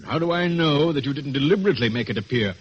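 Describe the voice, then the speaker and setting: A stern, skeptical police inspector. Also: male voice, fairly high pitch, lively intonation, fast talker, husky. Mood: accusing, skeptical. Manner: blunt, authoritative. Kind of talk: old radio drama acting. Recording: dull lo-fi radio recording, faint hiss.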